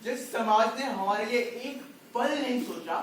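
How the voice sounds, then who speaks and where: A man's voice: very high-pitched, animated, measured pace, a bit bright, full character voice. Man playing a desperate clown in an echoing hall. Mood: bitter, pleading. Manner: declamatory, rhetorical questioning. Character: desperate, bitter male circus clown and struggling father. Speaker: stage actor. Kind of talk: amateur Hindi stage play acting. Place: indoor stage, recorded from the audience area. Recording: distant, very echoey hall, faint noise.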